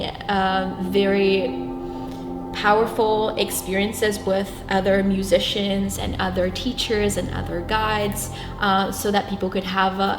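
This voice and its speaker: A young woman from Brazil, whose first language is Portuguese, answers interview questions in an earnest, halting way, restarting her sentences often. Her voice sits at a middle pitch and rings around a roomy space. Music plays clearly behind her. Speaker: guest. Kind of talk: reflective interview talk.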